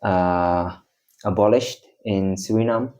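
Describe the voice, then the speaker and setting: A man's voice: low voice, steady tone, slow, warm tone. A young man from the Netherlands, a guest in casual chat, explaining at length with many hesitations.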